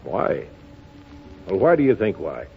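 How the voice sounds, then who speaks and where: An actor in 1930s radio drama style, playing a tough, slangy gambling boss in love, with a blunt, forceful manner. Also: male voice, low-pitched, huge pitch swings, a little slow, gravelly, faint music behind, exaggerated voice. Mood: ardent. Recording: muffled vintage 1930s radio broadcast.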